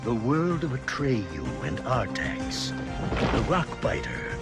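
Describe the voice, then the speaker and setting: A man narrating a film trailer in a grand, deliberate storytelling manner. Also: mid-range voice, sing-song. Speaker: trailer announcer. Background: loud trailer music.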